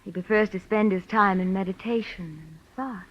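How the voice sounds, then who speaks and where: On a muffled vintage radio recording, an actress plays a cool femme fatale. She speaks at an average pitch with coy, poised control. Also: normal speed, close to an everyday voice. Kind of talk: 1940s radio acting. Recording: dull old radio recording.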